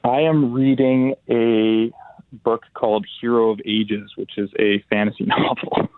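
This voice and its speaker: A young adult man, a football player, answering interview questions by phone in a relaxed, halting way with many restarts and fillers. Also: mid-range voice, dry recording. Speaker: pro football player, guest. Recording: tinny phone line.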